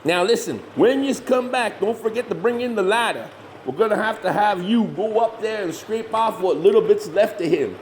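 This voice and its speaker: A man's voice: high voice, normal speed, slight background noise, close to an everyday voice. A man acting in a comedy horror audio drama as a glib, bossy hillbilly older brother with a rural Southern US accent. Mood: mock indignant. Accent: rural Southern US, hillbilly dialect. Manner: folksy, self-righteous, glib. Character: bossy, shameless hillbilly monster hunter, scheming older brother.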